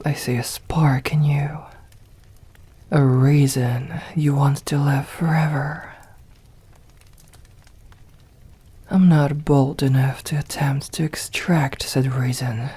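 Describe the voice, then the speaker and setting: Slow, breathy vampire mistress, played by a woman. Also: sits low, fairly even intonation, full character voice. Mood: cool, intrigued. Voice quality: breathy, soft, often whispered. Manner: slow, deliberate, condescending, formal old-fashioned diction. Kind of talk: ASMR roleplay, scripted acting.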